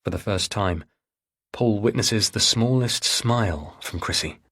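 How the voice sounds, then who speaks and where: A man narrating a thriller audiobook in a measured, dramatic way. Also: lowish voice, fairly bright tone, breathy edge.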